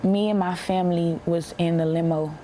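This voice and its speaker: A young American woman giving a personal account in an interview, plain-spoken and halting, repeating herself for emphasis. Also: lowish voice, steady tone, dark tone, slight background noise, poor audio. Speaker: interviewee, bereaved military widow.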